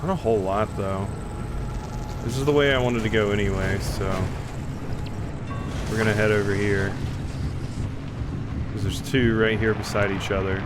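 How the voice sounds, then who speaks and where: A man's voice: lowish voice, heavy background noise. A man streaming a game in casual, rambling commentary, a little under the weather with a slight cough. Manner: chatty, rambling, quick reactions.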